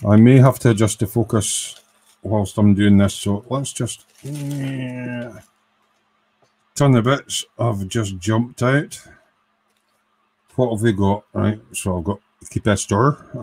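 A man's voice: on the low side, a little mellow, slightly rough. A man doing a casual live build of an electronics kit, thinking aloud and counting parts in a workshop. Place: workbench in a home workshop.

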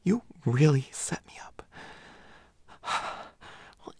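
A woman playing a nervous, pouty student in an intimate roleplay audio, stammering and scolding in a breathy, hushed voice. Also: sits low, big pitch swings, drawn-out words, no echo, whispered, clean studio sound, full character voice. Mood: flustered, nervous.

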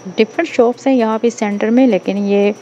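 A woman's voice: on the high side, brisk, mellow, dull audio, a little hiss or hum. A woman vlogger with a Pakistani Urdu accent, casually walking through a busy bazaar and chatting about bags. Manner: chatty, informal shop tips. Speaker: shopping vlogger. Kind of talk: casual market walkthrough vlog.

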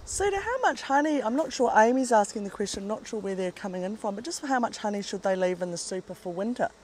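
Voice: mid-range voice, expressive, fast, darkish tone, a little hiss or hum. A co-host with a New Zealand accent, relaying viewer questions during a live stream in a friendly, light way.

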